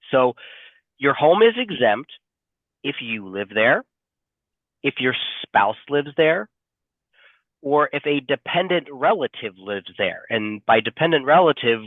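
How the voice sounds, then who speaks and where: A man's voice: medium pitch, dry recording. A male attorney with a stuffy head cold, presenting a webinar and explaining legal rules with frequent fillers and restarts. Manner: hesitant, many fillers and restarts. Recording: dry, tinny phone-like audio.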